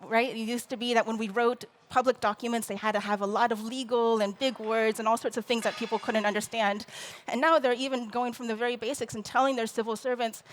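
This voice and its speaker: A woman with a slightly high voice gives a conference presentation. She explains things earnestly, often restarting phrases and pausing with "um". Her delivery is thoughtful and steady. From Taiwan. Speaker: conference speaker.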